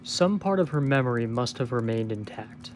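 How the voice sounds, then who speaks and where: A guarded male scientist played by a man answers a superior in an audio drama. He is formal, dutiful and restrained, with measured replies at a middle pitch. The recording has a faint noisy hum. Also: lively intonation, close to an everyday voice. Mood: defensive, controlled. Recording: noticeable background hum and noise.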